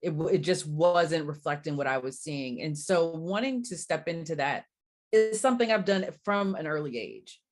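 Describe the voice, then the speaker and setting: Low-voiced woman from Barbados. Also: animated. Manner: thoughtful, measured, with frequent "um"s. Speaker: guest, diversity coach. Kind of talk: conversational interview answers.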